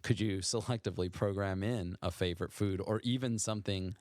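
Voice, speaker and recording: A man's voice: low voice, steady tone, a little fast, a little mellow, no echo, studio quality. A man from Maryland hosting a podcast, musing in a conversational way with hesitant restarts. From Maryland, USA. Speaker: podcast host.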